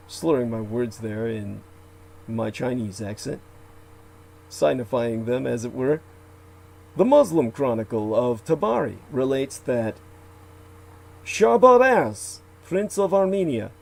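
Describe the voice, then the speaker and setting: A man reciting old legends aloud, with slow, deliberate delivery, archaic phrasing and occasional asides where he corrects himself. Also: medium pitch, warm tone, low-quality recording. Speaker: radio show guest.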